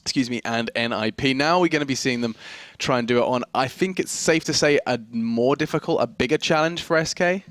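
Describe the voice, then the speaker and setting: A man hosting an esports analysis desk, quick and playfully self-mocking as he chats with his co-analyst. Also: mid-range voice, fairly bright tone, no room sound. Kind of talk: studio desk analysis chat.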